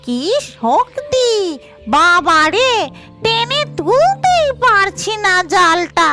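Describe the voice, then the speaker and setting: A woman voicing a grumbling, greedy fish-loving witch in a cartoon, muttering to herself in drawn-out colloquial speech. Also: extremely high pitch, very animated, over loud music, full character voice. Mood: straining, gloating. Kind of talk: cartoon character acting.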